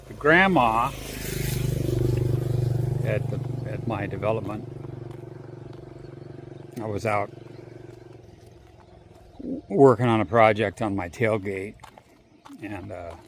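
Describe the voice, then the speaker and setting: Man, gravelly voice, slow drawl, walking outside, phone mic, faint outdoor hiss. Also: lowish voice, expressive. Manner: rambling, profane, drawn-out pauses. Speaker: vlogger. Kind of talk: casual walking monologue. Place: outdoors on a walk. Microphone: handheld phone.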